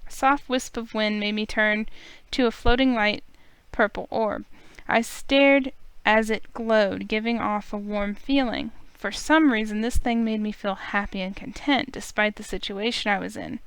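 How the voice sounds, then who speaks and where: A woman's voice: medium pitch, steady tone, measured pace, clean tone, no echo. A woman narrating a visual novel in first person, emotive and urgent.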